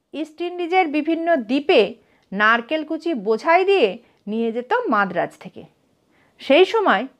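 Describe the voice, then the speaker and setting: Bengali lady reading an adventure story, highish voice, expressive and measured. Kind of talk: scripted dramatic narration of a story.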